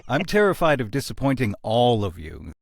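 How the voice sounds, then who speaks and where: A man's voice: medium pitch, very animated, moderate pace, creaky, no room sound. A man chatting casually as a podcast guest with a dry, tongue-in-cheek manner. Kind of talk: casual panel chat.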